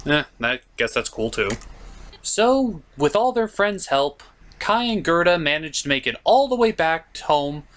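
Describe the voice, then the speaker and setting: A man's voice: a little high, big pitch swings, measured pace, fairly bright tone. A man hosting a comedic cartoon review, recapping the plot in a sarcastic, mock-dramatic and profane manner. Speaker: cartoon reviewer, show host.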